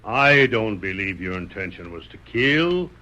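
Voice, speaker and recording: An actor playing a stern, manipulative sheriff in an old radio western, speaking in a blunt, insistent, folksy way. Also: male voice, on the low side, sing-song, a little slow, bright, forceful tone, muffled recording, a little hiss or hum, exaggerated voice. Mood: persuasive, secretive.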